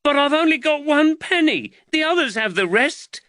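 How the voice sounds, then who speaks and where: A sulky storybook schoolboy in trouble, as a man acts him out. He whines defensively in a high, squealing voice that jumps about. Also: very animated, moderate pace, sharp tone, dry recording, studio quality, full character voice. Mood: protesting. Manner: whining, defensive. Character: sulky, whining red-haired schoolboy in trouble.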